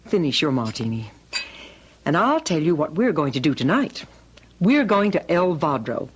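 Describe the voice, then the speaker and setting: An actress playing a brisk, fun-loving, coaxing woman friend in an old-time radio drama, animated and persuasive. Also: low-pitched, husky, exaggerated voice. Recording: muffled vintage radio recording, music.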